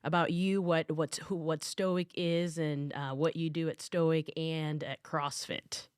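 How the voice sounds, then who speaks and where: Woman host, very low voice, dry close sound, halting questions, casual. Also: level tone, a little mellow, clean tone, dry recording. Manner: halting, many fillers and restarts. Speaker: podcast host. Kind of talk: casual interview.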